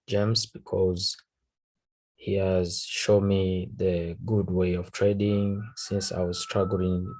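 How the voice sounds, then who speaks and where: A man with a Tanzanian accent, a native Swahili speaker, casually talking through a trade recap in halting English, searching for words. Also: low voice, a little mellow, poor audio. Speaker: forex trader. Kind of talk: casual trading recap over a chart.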